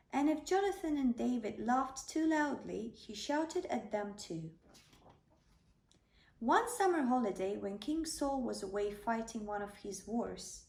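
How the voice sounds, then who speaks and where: A woman's voice: a little high, a little mellow. A woman narrating a children's bedtime story, reading aloud as a storyteller. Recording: roomy, slightly distant microphone.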